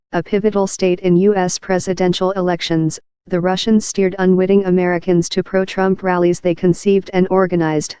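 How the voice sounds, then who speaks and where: A woman reading a scripted news article aloud as a narrator, in a formal, measured and impersonal way. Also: on the low side, mellow, clean tone, dry recording.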